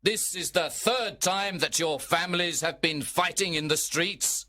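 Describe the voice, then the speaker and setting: Man acting angry prince, storybook drama, stern, authoritative, raised pitch, deliberate pace. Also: sharp tone, soft background music. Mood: stern anger. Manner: stern, slow, emphatic. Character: angry, commanding prince scolding feuding old lords.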